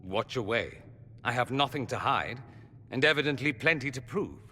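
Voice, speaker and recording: An actor playing an urbane, sardonic foreign mage in a video game, with dry, theatrical wit. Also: male voice, mid-range voice, animated, normal speed, sharp tone. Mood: sardonic, amused. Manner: witty, dry, theatrical sarcasm. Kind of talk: video game dialogue acting.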